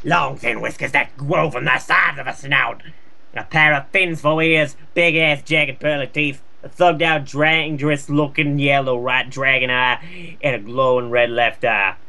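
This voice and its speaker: A man's voice: medium pitch, a little slow, creaky, bright, forceful tone. A man reading fan fiction aloud with profane, sarcastic, mocking commentary.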